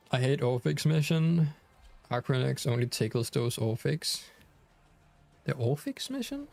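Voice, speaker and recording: A man's voice: mid-range voice, expressive, slow pace, vocal fry, pauses often, a little mellow, soft background music. Danish-accented man streaming a game. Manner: chatty, many fillers and restarts, reads chat aloud. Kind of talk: casual live stream chat.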